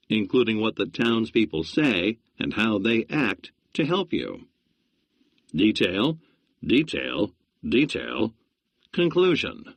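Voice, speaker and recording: Brief: male lesson narrator, instructive, slow, expressive pitch. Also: no room sound. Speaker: reading instructor.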